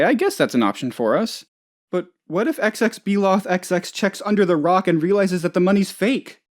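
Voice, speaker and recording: An actor voices a hapless office boss from a comedic video game, full of childish self-importance. The delivery rambles and hesitates, swinging widely in pitch. Worry and whining creep through the thin show of confidence. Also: close to an everyday voice. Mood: anxious, rambling. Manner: rambling, hesitant, whiny when upset. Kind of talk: comedic game dialogue, voice lines.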